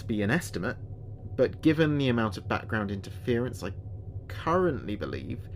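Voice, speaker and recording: A British man playing an earnest spaceship communications officer recording logs in a sci-fi audio drama, speaking in a measured, precise way. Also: medium pitch, slight background noise, close to an everyday voice.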